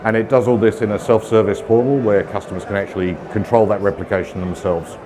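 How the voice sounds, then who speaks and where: Brit guy, middle-aged, lowish voice, chatty tech talk, lots of ums, crowd noise behind. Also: steady tone, fast talker. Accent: British. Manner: casual, self-deprecating, frequent ums and uhs. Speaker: conference speaker. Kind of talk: technical conference talk. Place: conference room with audience.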